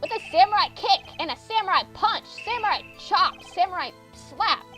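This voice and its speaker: A woman's voice: extremely high pitch, expressive, moderate pace, pushed and bright, faint music behind, full character voice. A woman voicing a bratty, defiant little boy in a video game fan dub, cheeky and sulky. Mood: boastful.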